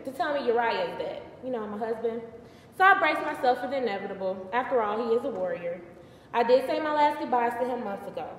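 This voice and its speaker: A woman's voice: on the high side, natural voice. A young woman performing a live theatrical monologue as an indignant, wry biblical queen, conversational and emphatic. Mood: defensive storytelling. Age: early 20s. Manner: conversational, sassy, emphatic, exasperated. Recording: distant mic, echoey hall, faint noise.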